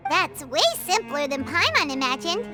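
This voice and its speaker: A woman voicing a tiny, curious, excitable fairy girl companion in a video game, with a squeaky childlike voice and a naive manner. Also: moderate pace, loud music behind the voice. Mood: impressed, chatty. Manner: refers to self in third person, naive. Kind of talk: cartoonish video game voice acting.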